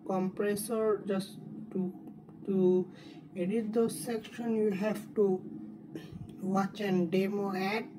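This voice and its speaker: A woman calmly talking through an app tutorial step by step, correcting herself now and then. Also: medium pitch. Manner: unhurried step-by-step instructions with small self-corrections. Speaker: tutorial presenter. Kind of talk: casual screen-recorded app tutorial. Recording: lo-fi with clear background noise.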